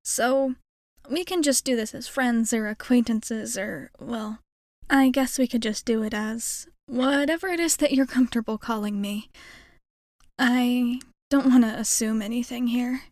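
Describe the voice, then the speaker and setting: A woman's voice: on the high side, measured pace, a little mellow, dry recording, studio quality, lightly acted. A woman playing a shy, kind young alien girl in an intimate ASMR roleplay, speaking in a hesitant, earnest, rambling way. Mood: nervous, hopeful.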